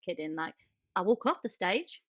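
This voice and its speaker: A woman's voice: lowish voice, animated, moderate pace, no echo. Informal woman podcaster, close dry sound. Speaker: podcast co-host. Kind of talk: casual podcast chat.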